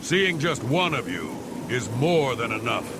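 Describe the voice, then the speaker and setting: A menacing, boastful heretic in a fantasy game. His delivery is slow, theatrical and pompous, dripping with contempt and rising and falling dramatically. Heavy rain and thunder roar behind him. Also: medium pitch, very animated, very noisy. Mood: contemptuous, threatening. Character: boastful, menacing heretic villain, damned priest-slayer.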